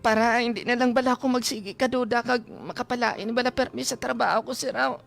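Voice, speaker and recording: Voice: mid-range voice, steady tone, quick pace, gravelly. A performer voicing a loyal, troubled young female employee with a Hiligaynon accent, plaintive and hesitant, deferring to the boss in a radio comedy drama. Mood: worried, confiding. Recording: loud music bed behind voice.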